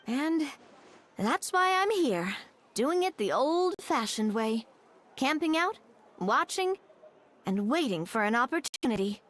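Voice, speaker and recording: A confident young woman in a video game, high-voiced and lively in her lilt. She speaks in a friendly, reassuring way and looks after her friends. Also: slow pace, exaggerated voice. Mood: determined. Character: confident young woman, warm and gracious leader.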